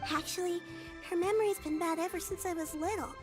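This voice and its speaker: A woman's voice: very high voice, a little fast, over music. A woman voicing a kind, plucky young child in a video game, with a childlike, earnest and polite delivery.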